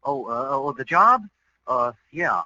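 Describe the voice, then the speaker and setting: An actor playing an eager, bumbling young boyfriend with an American accent in 1940s radio comedy, hesitant and earnest. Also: male voice, mid-range voice, sing-song, takes it slowly, sharp tone, no echo, heavily acted. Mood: nervous, hesitant. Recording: dull, hissy old radio recording.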